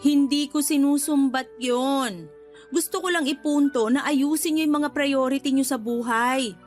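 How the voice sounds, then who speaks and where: A woman's voice: high voice, normal speed, faint music behind, lightly acted. A woman acting in a Tagalog radio drama as a married homeowner who nags and lectures her older brother. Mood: scolding, indignant. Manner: nagging, preachy, emphatic.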